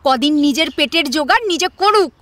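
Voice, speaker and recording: Woman acting a sharp, bossy mother-in-law, bright-voiced. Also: high voice, lively intonation, moderate pace, no echo. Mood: scolding. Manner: bossy, pointed. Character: sharp, scheming middle-aged Bengali mother-in-law.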